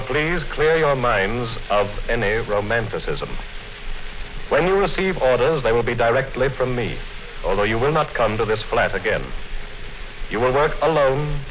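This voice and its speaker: An actor playing a calm, commanding party official in a measured, deliberate 1950s radio drama style. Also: male voice, medium pitch, lightly acted. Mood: grave, solemn. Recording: noisy, dull 1950s radio recording.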